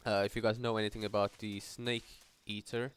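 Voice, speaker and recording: A man's voice: lowish voice, little pitch movement, brisk, a bit bright, clear, resonant tone. A man giving casual, somewhat hesitant and rambling video game walkthrough commentary as he plays. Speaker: gaming video host.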